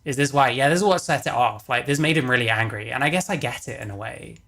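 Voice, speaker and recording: A man's voice: mid-range voice, fast, creaky, fairly bright tone. A man giving casual, matter-of-fact livestream commentary, blunt and prone to swearing. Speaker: stream co-commentator.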